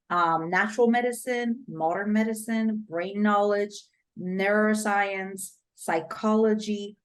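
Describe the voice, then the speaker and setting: A middle-aged woman from Poland, a brain coach giving an upbeat, expressive podcast interview as the guest. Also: on the low side.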